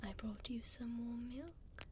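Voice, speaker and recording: A tender, aristocratic vampire duchess, acted by a woman, whispers in a higher feminine voice for an ASMR roleplay. Her breathy delivery is very unhurried and soothing, close on the mic with some background noise. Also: lightly acted. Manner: soothing, slow, elegant, tender. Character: gentle, refined vampire duchess tending a wounded puppy. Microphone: close binaural microphone.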